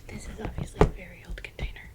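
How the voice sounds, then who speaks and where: A young adult woman whispers breathily in a soft-spoken ASMR style, chatting informally. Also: fast. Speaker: ASMR video creator. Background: clear noise, clicks and crinkles from handled items.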